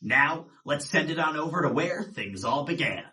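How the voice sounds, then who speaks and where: A man's voice: on the high side, lively intonation, normal speed, pushed and bright. A young man in his twenties, a student sportscaster introducing himself with a polished broadcaster delivery. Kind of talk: self-introduction narration.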